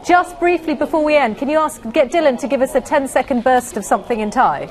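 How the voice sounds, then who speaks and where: A woman's voice: sits high, fast talker, crowd noise. A woman presenting the news in a studio and interviewing a guest, polished and lightly amused.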